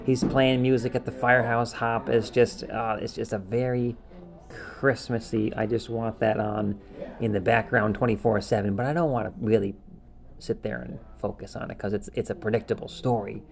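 A man's voice: lowish voice, flat delivery, normal speed, gravelly. A man co-hosting a podcast in casual chat, enthusiastic and repeating words for emphasis. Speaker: podcast co-host. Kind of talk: casual chat ranking favorite cartoon specials.

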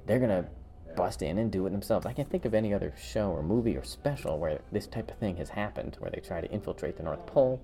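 A man co-hosting a podcast in casual conversation, halting and repeating words as he thinks aloud. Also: deep voice, mellow. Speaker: podcast co-host. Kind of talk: casual chat ranking favorite cartoon specials.

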